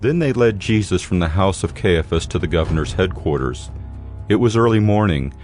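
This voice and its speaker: Deep-voiced male Bible narrator. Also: expressive, normal speed, a little mellow, faint music behind. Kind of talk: scripted scripture narration.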